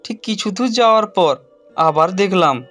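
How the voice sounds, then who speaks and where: Male narrator for animated horror tale, high voice, first-person storytelling over music. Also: normal speed. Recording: music behind the voice.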